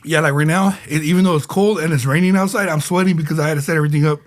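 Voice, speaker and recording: A man co-hosting a casual podcast, relaxed and rambling, with lots of fillers and repeated phrases, a bit under the weather. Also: on the high side, very fast. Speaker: podcast co-host.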